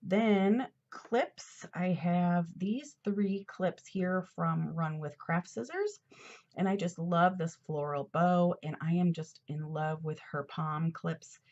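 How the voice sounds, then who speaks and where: A woman's voice: lowish voice, takes it slowly, a little mellow, lo-fi sound. A middle-aged woman chatting in a rambling, casual way while decorating a planner at her desk, a little congested. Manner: chatty, rambling, with fillers and asides. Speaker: planner vlogger. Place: at a desk indoors. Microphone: overhead camera.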